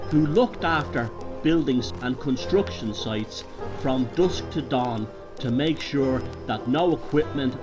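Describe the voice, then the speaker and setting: A man with a Dublin Irish accent narrating a horror tale in a deliberate, theatrical pub-yarn manner. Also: medium pitch, dull audio. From Ireland. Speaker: storyteller. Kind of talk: dramatic horror story narration.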